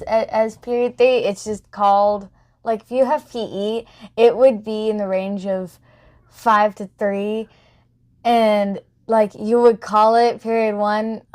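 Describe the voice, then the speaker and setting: A woman's voice: mid-range voice, a little mellow. A young female student chatting casually as a podcast co-host, playful and giggly, with a stubbornly silly streak. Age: young, school student. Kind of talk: casual chat.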